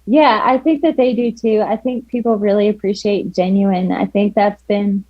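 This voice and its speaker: Relaxed woman calling in, dull line. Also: mid-range voice, normal speed, dry recording. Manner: relaxed, hesitant, many fillers and likes. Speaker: guest, ultra runner. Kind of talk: casual podcast chat. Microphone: calling in remotely.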